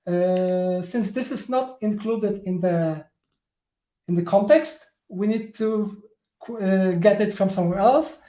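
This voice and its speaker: Slow, halting man with a Polish accent. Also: high-pitched, warm tone, reverberant, low-quality recording. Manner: halting, many fillers and restarts. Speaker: tech presenter. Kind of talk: live coding talk.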